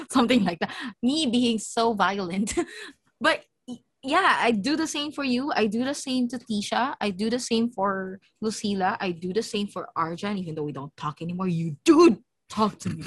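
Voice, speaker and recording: A woman's voice: mid-range voice, expressive, normal speed, slightly rough, dry recording. Laughing woman co-hosting a podcast. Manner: laughs often, restarts sentences, frank. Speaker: podcast co-host. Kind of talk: casual chat. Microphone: video call.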